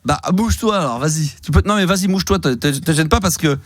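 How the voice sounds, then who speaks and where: A man's voice: on the high side, fast, no echo. A man hosting a live phone-in, chatting in a teasing, jokey way on a close studio microphone. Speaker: radio phone-in host. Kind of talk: live late-night phone-in chat. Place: radio studio.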